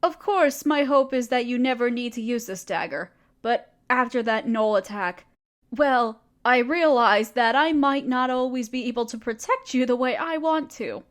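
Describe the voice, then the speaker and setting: A woman voicing a gentle, protective, motherly spider queen in an audio roleplay, speaking softly, patiently and reassuringly. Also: fairly high pitch, moderate pace, natural voice. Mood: tender. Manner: soft, patient, doting and reassuring.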